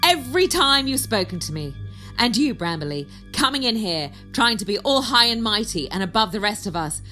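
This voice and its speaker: A haughty village lady of rank, acted by a woman, curt and quick to take offence. Her voice is bright and cutting and swings widely in pitch, in the manner of a radio-style drama. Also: very animated, soft background music. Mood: indignant, scornful. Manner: curt, indignant. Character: haughty, snobbish widow of a village lord. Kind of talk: podcast audio drama acting.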